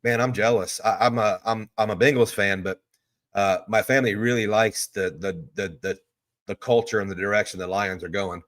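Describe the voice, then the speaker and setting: A man's voice: lowish voice, steady tone, moderate pace, a bit bright. A man, hosting a live stream from a hotel room. He rambles casually and answers viewer questions one after another.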